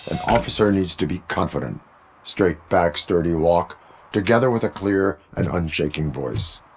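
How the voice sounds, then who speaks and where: An actor playing a stern, by-the-book cyborg police officer in a video game, speaking in a formal, measured, deadpan way. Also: male voice, low voice, slight background noise. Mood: stern, instructive. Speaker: police field training officer.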